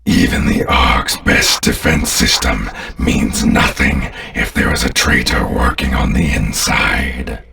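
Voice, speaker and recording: Alien dark overlord, extremely deep, gravelly, menacing, disdainful, commanding, video game villain. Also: male voice, far from the mic, exaggerated voice. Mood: contemptuous. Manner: slow, pompous, disdainful, commanding. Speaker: villain giving battle orders and hints. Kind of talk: scripted video game character lines.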